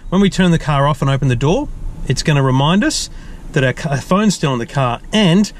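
An Australian man casually showing off a car's digital dashboard, relaxed and chatty with frequent ums and restarts, recorded inside a parked car. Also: fairly high pitch, brisk, a little hiss or hum. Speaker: tech reviewer. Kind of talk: casual car feature walkthrough.

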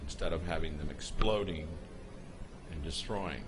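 A man giving an unscripted voice-over, explaining footage in a halting way with pauses and restarts. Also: a bass voice, noisy background. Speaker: narrator explaining a product video.

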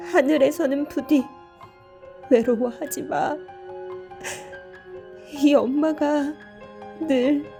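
Sex: woman. Role: audio drama narrator. Pitch: fairly high. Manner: soft, measured, sorrowful. Behind: faint music. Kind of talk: scripted story narration.

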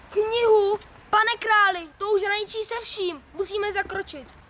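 A woman's voice: very high voice, a bit bright, slight background noise. A woman playing an indignant, blustering king with an emphatic, imperious delivery. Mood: outraged.

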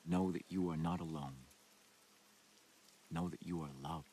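A man playing a solemn, messianic doomsday cult leader in a video game, preaching in grave, deliberate, rhetorical monologues. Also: low voice, steady tone, warm tone, slight background noise. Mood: soft, fatherly. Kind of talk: dramatic sermon and broadcast monologue.

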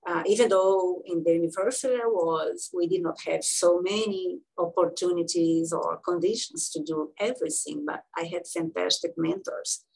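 Brazilian woman in her sixties, a physician guest; low husky voice, halting delivery full of fillers. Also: darkish tone, rough recording. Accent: Brazilian Portuguese. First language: Portuguese. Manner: halting, many fillers and restarts. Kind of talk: interview storytelling.

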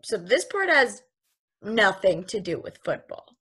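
A woman's voice: mid-range voice, very animated, unhurried, fairly bright tone, breathy edge. A young woman on a video call reading a stage play aloud, asking puzzled questions. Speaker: table read actor.

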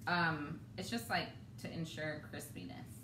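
A woman in her thirties hosting a live home cooking demo, chatty and relaxed, laughing often. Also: sits low, measured pace. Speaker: cooking show host. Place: home kitchen, indoors. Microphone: camera across the kitchen. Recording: roomy, distant, noisy home kitchen.

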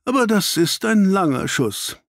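A man reading an audiobook, voicing an analytical, ironic gentleman detective with precise, clipped statements. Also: high-pitched, sing-song, unhurried, no room sound, studio quality, voice pushed up, exaggerated voice.

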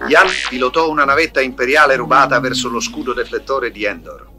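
A man's voice: medium pitch, brisk. Male storybook narrator over music. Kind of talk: scripted audio storybook narration. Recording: 1980s storybook record with music.

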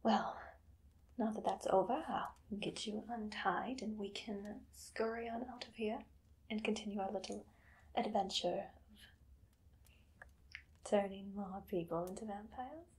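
A possessive vampire mistress, played by a young woman in an ASMR roleplay. She speaks very slowly and breathily, often dropping into a whisper. Her theatrical delivery is doting and coaxing, with menace in it. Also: medium pitch, fairly even intonation, a little mellow, lightly acted, rough recording. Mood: sly, delighted. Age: young adult. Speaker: ASMR performer. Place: small dim indoor room.

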